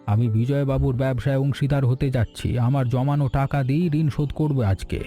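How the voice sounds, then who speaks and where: A man's voice: medium pitch, steady tone, a little fast, warm tone, faint music behind, lightly acted. A man playing a generous, supportive business partner in a village cartoon, courteous and reassuring. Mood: resolute. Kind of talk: Bengali moral-story cartoon dialogue.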